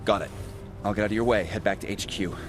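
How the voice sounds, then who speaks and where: An actor playing a chatty, jittery, defensive forensic investigator in a video game, excitable and rambling with hesitations. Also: male voice, on the low side, fast, music in the background, close to an everyday voice. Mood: earnest, conspiratorial. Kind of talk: video game cutscene acting.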